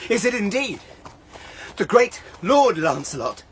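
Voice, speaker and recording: An eager young man who reveres kings and knights. His voice sits a little high, and he speaks old verse reverently. It is radio drama with faint background noise. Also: very animated, unhurried. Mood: awed. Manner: reverent, archaic phrasing. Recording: faint outdoor ambient noise.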